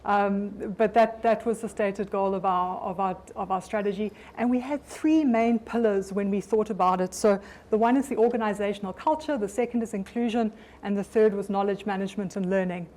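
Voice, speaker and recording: A woman's voice: mid-range voice, fairly quick, darkish tone. A middle-aged woman with a South African accent giving a conference presentation in a loose, conversational way, with frequent ums and restarts. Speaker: conference speaker. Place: conference room.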